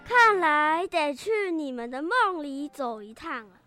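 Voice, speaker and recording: Woman playing a high-voiced stern sea dragon king. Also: animated, unhurried, over loud music. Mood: solemn warning. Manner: solemn, declamatory.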